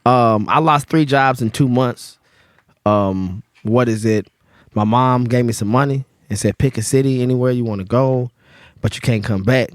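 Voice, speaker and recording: A man's voice: medium pitch, moderate pace, no room sound, clean studio sound. A man, telling his life story in a loose, slangy podcast chat. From Memphis, Tennessee. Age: 30s. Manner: laid-back slangy storytelling with fillers. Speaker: guest bartender.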